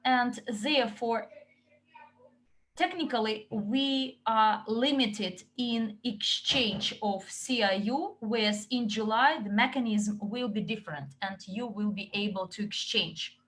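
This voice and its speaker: A woman interpreting a company update into English, relaying questions and answers in short, halting chunks. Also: on the high side, a bit bright, poor audio.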